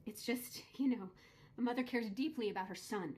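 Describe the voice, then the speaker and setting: A woman's voice: fairly high pitch, normal speed, darkish tone, a little hiss or hum, lightly acted. An actress playing an imperious, cold but doting mother in a video game, with haughty, dramatic delivery. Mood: composed, cold.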